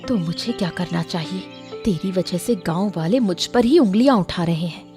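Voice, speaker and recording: A woman's voice: lowish voice, normal speed, mellow, soft background music, pitched below the performer's own voice, close to an everyday voice. A woman voicing a sulky, resentful rival hotel-keeper, speaking curtly in a cartoon story style. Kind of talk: Hindi cartoon moral story acting.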